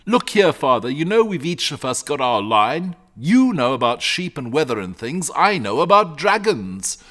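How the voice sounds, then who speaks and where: A man voicing a calm, bookish young boy in a storybook, matter-of-fact, patient and slightly superior. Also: a little high, moderate pace, voice pushed up. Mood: calm, assured. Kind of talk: storybook character reading.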